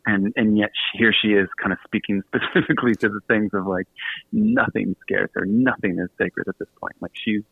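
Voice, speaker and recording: A man's voice: on the low side, fairly even intonation, brisk, creaky, husky, dry recording, narrow, tinny audio. A man chatting casually as a radio interview guest, self-deprecating and effusive, with a joking manner. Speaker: guest, author and podcast host.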